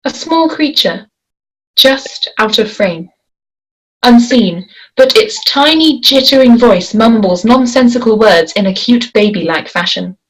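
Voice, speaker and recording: A woman reading stage directions aloud at a script table read, in a deliberate, measured way. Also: fairly high pitch, expressive, poor audio.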